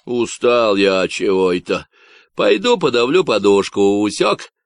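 A man's voice: on the low side, big pitch swings, creaky, no echo, studio quality, exaggerated voice. A man voicing an unhinged Texan man on a radio, who talks in a nasal drawl full of slang and mockery. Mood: mocking. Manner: slow, mocking slang talk.

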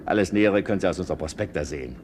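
Pompous low-voiced salesman over noise. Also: lively intonation, very fast. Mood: officious, self-satisfied. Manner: officious, formal, reciting. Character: pompous, businesslike male ark builder selling passages. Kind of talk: German radio play acting.

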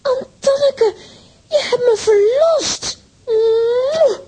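Tiny squeaky girl, super high voice, plucky n plaintive, slow-ish, kids radio play. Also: exaggerated voice. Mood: tearful relief. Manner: excitable, plaintive. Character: plucky, emotional little girl, comic-strip heroine.

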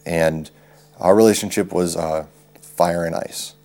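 A man's voice: low voice, steady tone, a little slow, a little mellow. A young man with an American accent recalling his past in an informal, candid interview. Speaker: witness, former schoolmate. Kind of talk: interview recollection. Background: faint music.

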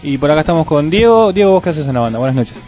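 A man's voice: fairly high pitch, very animated, rapid-fire, darkish tone, faint music behind. A man co-hosting a radio show, greeting guests and asking casual questions. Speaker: radio co-host. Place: radio studio.

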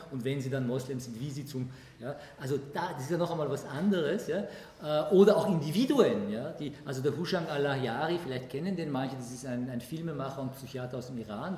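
A man's voice: medium pitch, a little mellow. A man speaking spontaneously as a lecturer answering an audience, often breaking off and rephrasing his sentences. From Austria. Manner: halting, self-correcting, breaks off and rephrases sentences. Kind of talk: spontaneous spoken answers in a public discussion. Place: indoor public event room. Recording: echoey room with clear background noise.

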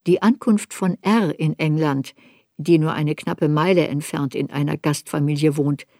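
A woman reading scripted literary narration for a radio play in a measured, reflective way. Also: sits low, steady tone, dark tone, dry recording, clean studio sound.

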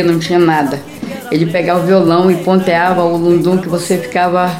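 A woman's voice: on the low side, darkish tone. Middle-aged Pará woman in a roomy recording. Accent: northern Brazilian, Pará. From Brazil, quilombola community near Santarém in Pará. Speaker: singer-songwriter, interview guest. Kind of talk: spontaneous personal interview talk. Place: audio studio, for a radio programme. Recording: roomy studio sound, music behind.

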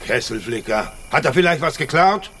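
A man's voice: on the high side, lively intonation, normal speed, bright, forceful tone, a little hiss or hum. An actor playing a wary rural family man in a German radio drama, blunt, bossy and full of questions. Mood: grudging. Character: gruff, suspicious rural family patriarch with lamp.

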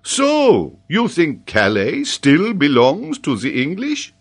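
Man playing an excitable, high-pitched theatrical geographer. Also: full character voice. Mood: amused astonishment. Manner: exclamatory, drawn-out, mock-admiring. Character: excitable, theatrical French male geographer, amused and incredulous.

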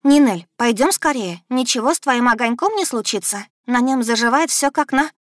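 A woman voicing a spirited young female magic student, brisk, bossy and teasing, in a fantasy audiobook. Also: fairly high pitch, lively intonation, no echo, studio quality, pitched above the performer's own voice, natural voice.

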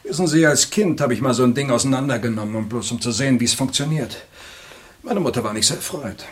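A man's voice: medium pitch, quick pace, close to an everyday voice. Assured, lecturing male expert played by an actor. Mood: conversational. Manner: lecturing, matter-of-fact. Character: knowledgeable male weapons expert, assured and instructive. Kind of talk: German radio play acting.

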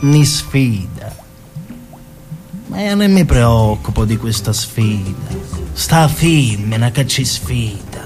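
An actor playing a cocky, sexist mobster with a southern Italian accent, taunting and theatrical. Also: male voice, mid-range voice, lively intonation, slow pace, over music. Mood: boastful, defiant. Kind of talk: stage monologue and dialogue in radio drama.

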